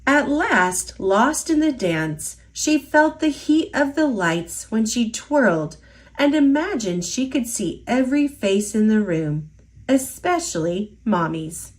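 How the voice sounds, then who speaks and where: Woman storyteller for kids, slow and deliberate, lively rising and falling voice. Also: fairly high pitch. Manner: slow, expressive, sing-song storytelling. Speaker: storytime reader. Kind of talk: children's picture book read-aloud.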